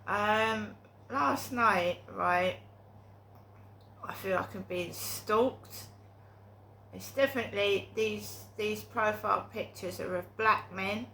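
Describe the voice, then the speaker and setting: A woman's voice: mid-range voice, slow, creaky, bright, forceful tone, slight background noise. A middle-aged woman with a cold and sore throat, talking in a rambling, hesitant monologue full of ums and restarts. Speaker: vlogger.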